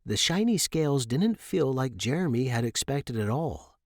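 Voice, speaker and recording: A man narrates a piece of fiction from a script. His pitch sits in the middle of the male range, and the recording is dry, with hardly any room sound. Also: very animated, moderate pace, a little mellow, dry recording. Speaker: narrator reading a short story.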